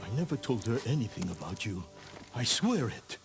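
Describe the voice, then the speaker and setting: A man's voice: fairly low pitch, big pitch swings, moderate pace, gravelly, faint music behind. Frightened, swooping male informant. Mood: fearful, pleading. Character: frightened male informant pleading under interrogation. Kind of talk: dramatic video game acting.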